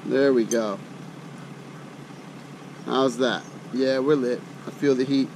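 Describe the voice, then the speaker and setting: A man's voice: steady tone, takes it slowly, poor audio. A middle-aged American man chatting casually in a folksy, colloquial way, thinking aloud as he demonstrates camp cooking. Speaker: host of an outdoor cooking channel. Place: outdoors. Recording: outdoor recording with loud ambient rumble.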